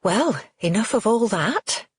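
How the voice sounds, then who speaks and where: A woman's voice: low-pitched, moderate pace, slightly rough, dry recording, full character voice. A woman voicing a tactful, cautious married woman with a confiding manner in an audiobook. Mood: bright.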